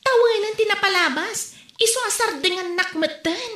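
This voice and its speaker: A woman's voice: very high voice, moderate pace, bright, forceful tone, reverberant. A woman with an Ilocano accent acting a teasing, playful mother in a comedy skit, laughing often.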